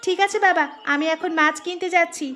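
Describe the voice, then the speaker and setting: Very high-pitched, earnest young village daughter, acted by a woman. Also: steady tone, fast talker, pushed and bright. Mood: confident. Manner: pleading, earnest. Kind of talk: Bengali cartoon fairy-tale dubbing. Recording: music under the voice.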